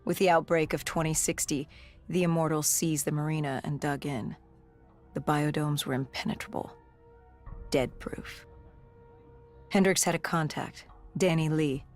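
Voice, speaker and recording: An actor playing an augmented soldier in a video game, haunted and resolute, recounting past missions in grave, measured storytelling. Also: medium pitch, fairly even intonation, close to an everyday voice. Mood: subdued, resolute. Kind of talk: dramatic game cutscene narration. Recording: music under the voice. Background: music.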